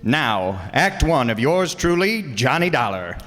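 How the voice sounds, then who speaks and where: An actor playing a grand, emphatic announcer in the style of an old-time radio show. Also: male voice, mid-range voice, very animated, measured pace, fairly bright tone. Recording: roomy hall with long reverb.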